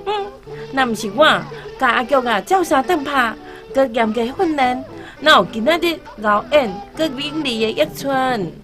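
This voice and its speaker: Shrill, bossy foster mother. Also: lively intonation, normal speed, music in the background. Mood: boastful. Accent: Taiwanese. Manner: sharp-tongued, sarcastic. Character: bossy, jealous, scolding foster mother in an opera troupe. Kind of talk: radio drama acting.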